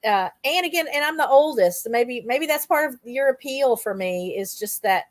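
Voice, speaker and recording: A woman hosting a casual interview, speaking conversationally and reflectively, pausing in mid-thought. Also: high voice, lively intonation, no room sound, studio quality. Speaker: host, interviewer.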